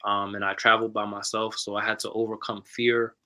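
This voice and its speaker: A man author telling his personal story in a casual panel talk, measured and candid. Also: fairly low pitch, flat delivery, pushed and bright. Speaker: author guest.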